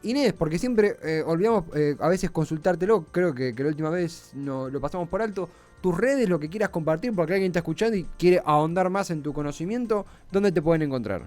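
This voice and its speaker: A fairly high male voice with a Rioplatense Argentine accent hosts casual radio over faint music. He is effusive and likes to repeat words for emphasis. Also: animated, brisk, a little mellow. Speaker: radio show host.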